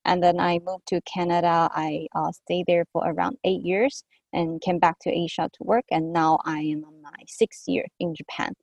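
Woman in her 30s from Taiwan, low voice, dry sound, chatty guest. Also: fairly even intonation, normal speed, gravelly, hardly any pauses, darkish tone, no room sound, muffled recording. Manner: chatty, uses "like" often. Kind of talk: casual interview chat.

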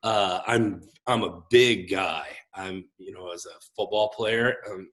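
A man's voice: low-pitched, measured pace. Gregarious middle-aged male pastor. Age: 40s. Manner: gregarious and expressive, big voice. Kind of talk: casual conversational interview.

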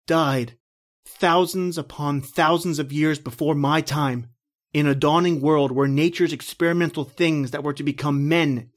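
A man's voice: fairly high pitch, lightly acted. Male actor for a ghostly narrator, old radio drama, intense and desperate. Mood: mournful. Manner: intense, rising to panicked shouting. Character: terrified man narrating his own death.

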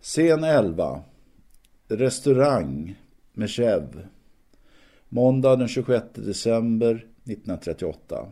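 A man's voice: fairly low pitch, sing-song, pauses often, dark tone. A man narrating an audio drama, reading out scripted scene announcements in short phrases.

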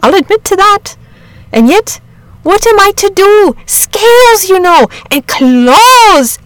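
A woman's voice: very high-pitched, sing-song, takes it slowly, a bit bright, no room sound, pitched above the performer's own voice, exaggerated voice. A woman voicing a frightened, grumbling rustic shepherd from a storybook, agitated and emphatic in country dialect. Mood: nervous, bewildered. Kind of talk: storybook character reading.

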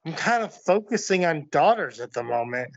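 Man playing a high-voiced, lecherous wrestler. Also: very animated, normal speed, creaky, full character voice. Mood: exasperated. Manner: wisecracking, exasperated. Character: lecherous, exasperated, wisecracking male wrestler. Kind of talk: amateur comedic audio play. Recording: muffled, music underneath.

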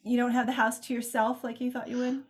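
A woman's voice: on the high side, level tone, quick pace, breathy edge. A woman hosting a podcast, chatting casually as she introduces and interviews an author.

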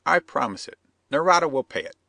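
A man's voice: on the high side, very animated, a little fast, creaky, sharp tone, no echo, studio quality, voice pushed up, full character voice. A man voicing a vehement male schemer who talks in stiff, broken phrasing. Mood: insistent. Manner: insistent, persuasive. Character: scheming male plotter, vehement, stilted speech.

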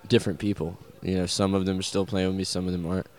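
A man with a Southern US accent, a musician answering interview questions in a loose, halting way, full of fillers and restarts. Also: deep voice, level tone, a little mellow. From American South. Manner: rambling, halting, many fillers and restarts, swears casually.

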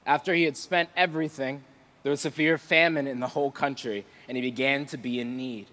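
Male preacher, bright forceful voice, informal and playful delivery, big echoing room. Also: mid-range voice, steady tone, brisk. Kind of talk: sermon with casual humour and scripture reading. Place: large reverberant room before a live audience. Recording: long hall reverb.

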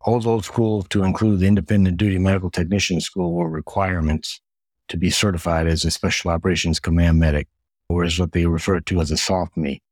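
Low-voiced man recounting in long lists. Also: fairly quick, no room sound. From United States. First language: Hungarian. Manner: steady, recounting in long lists with frequent word repeats. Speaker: guest, retired Air Force medic. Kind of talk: podcast interview answers.

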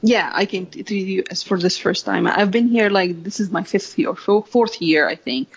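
A woman's voice: mid-range voice, vocal fry. A woman from Egypt with a light Egyptian accent, a guest telling stories in a casual interview, full of fillers, restarts and frequent laughs. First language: Arabic. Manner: halting, many fillers and restarts, laughs often. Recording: dull lo-fi sound.

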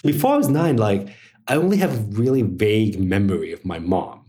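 A middle-aged man from Hong Kong with a fairly low voice, talking as a podcast guest. He tells his story in a relaxed, chatty way and leans on fillers like "like.". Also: a little fast. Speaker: interview guest, media executive. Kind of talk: casual personal storytelling.

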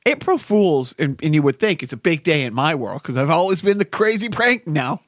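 A man's voice: a little high, big pitch swings, a little fast, no echo, clean studio sound. A middle-aged man hosting a podcast, chatting casually in a rambling way with frequent restarts and laughter. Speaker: podcast host. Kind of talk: casual chat.

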